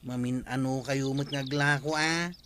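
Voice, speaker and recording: An actor with an Ilocano accent playing a chatty, helpful tricycle-driving villager in a radio comedy drama. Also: male voice, mid-range voice, fairly even intonation, measured pace, noisy background, close to an everyday voice. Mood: chatty, teasing.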